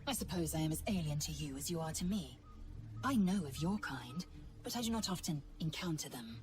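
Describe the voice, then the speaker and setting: A woman's voice: sits low, expressive, brisk, a little mellow, soft background music. An actress voicing a haughty, blunt alien warrior woman in a video game, with clipped, formal disdain. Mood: cold disdain.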